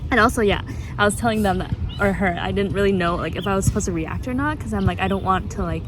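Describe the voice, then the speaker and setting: A woman's voice: mid-range voice, fast, noisy background. A young woman chatting casually as she retells something to a friend, in a giggly, rambling way full of filler words. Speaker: vlogger.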